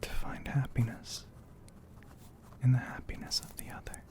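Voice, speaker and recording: A man narrating an ASMR story in a breathy, hushed whisper, poetic and full of pauses, close to the microphone. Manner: slow, hushed, poetic delivery with frequent pauses.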